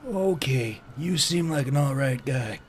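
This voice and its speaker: An actor playing the friendly but cautious leader of a homeless band in an audio drama, folksy and conversational. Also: male voice, medium pitch, lively intonation, unhurried, a little hiss or hum, close to an everyday voice. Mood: uneasy, explaining.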